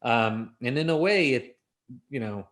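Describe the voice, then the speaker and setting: American guy being interviewed, normal pitch, super informal, tells it like a story. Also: animated, measured pace, a bit bright. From Los Angeles, United States. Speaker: interview guest, author. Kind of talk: casual conversational interview.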